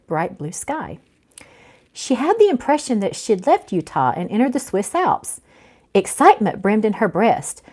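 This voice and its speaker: A woman's voice: mid-range voice, a little mellow. A woman speaking as an author who hosts an informal livestream, chatting with viewers and reading aloud from a novel. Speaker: author hosting a live reading of their own book. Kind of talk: informal livestream chat and read-aloud narration.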